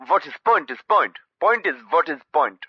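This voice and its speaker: Baffling male caller, husky, swooping, insistent broken English on a muffled phone line. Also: on the high side, expressive, moderate pace, dry recording. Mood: insistent, puzzled. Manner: repetitive, insistent. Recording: muffled dry telephone line.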